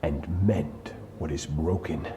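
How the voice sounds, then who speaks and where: A man's voice: very deep voice, fairly even intonation, slow, mellow, slight background noise. A male actor playing a cold, merciless sword master in a video game, grave and commanding. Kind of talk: dramatic scripted game acting.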